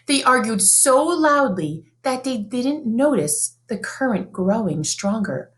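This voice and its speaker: A woman reading a children's picture book aloud in an expressive, sing-song storybook manner, like a teacher. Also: fairly high pitch, takes it slowly.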